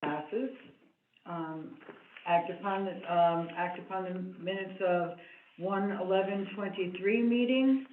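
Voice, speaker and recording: A soft-spoken woman chairing a town committee meeting, hesitant and full of fillers, in a meeting room. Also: fairly low pitch, fairly even intonation, takes it slowly, a little hiss or hum. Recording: echoey room over a tinny line.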